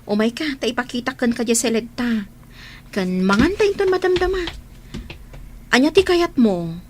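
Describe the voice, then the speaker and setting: Chatty welcoming housemaid, ilocano accent, high and super animated, radio drama. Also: female voice, moderate pace. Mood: breezy, welcoming. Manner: lively, welcoming. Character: welcoming, breezy veteran domestic helper abroad.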